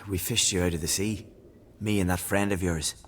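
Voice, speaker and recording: A man playing a gentle, reassuring villager in a video game, with a husky voice. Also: sits low, steady tone, moderate pace, a little hiss or hum. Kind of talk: quiet video game acting.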